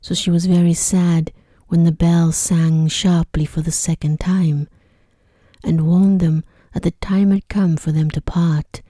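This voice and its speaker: A woman tells a bedtime story in soft ASMR style. Her voice is low, very dark and relaxed, with careful, hushed enunciation. The sound is close and dry. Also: unhurried, dry recording. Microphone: close microphone in a dry space.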